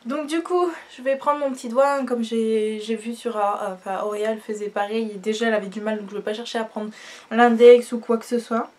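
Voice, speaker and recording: A young woman with a stuffy nose from a cold, chatting casually through a makeup tutorial with many fillers and trailing off quietly. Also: on the high side, steady tone, a little fast. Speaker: beauty vlogger.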